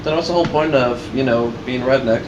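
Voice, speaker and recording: A man's voice: medium pitch, fairly quick, highs cut off. A man chatting casually as a reaction host, explaining and pointing things out as he goes. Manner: explanatory, pointing out on-screen details. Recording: echoey room with loud background noise.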